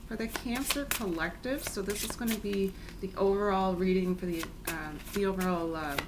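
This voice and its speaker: Woman, tarot reader, hoarse and raspy today; easygoing, gentle delivery over audible background noise. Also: medium pitch, normal speed. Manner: soothing, chatty, addresses viewers as guys. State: raspy, clearing throat. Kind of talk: casual spiritual reading.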